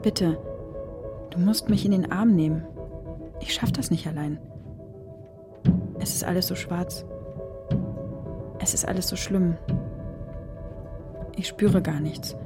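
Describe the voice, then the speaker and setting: A woman's voice: fairly low pitch, slow pace, long pauses, music in the background. An actress playing a desperate, lovelorn woman in a radio drama, pleading intimately. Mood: despairing. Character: desperate lovelorn woman, needy and empty inside.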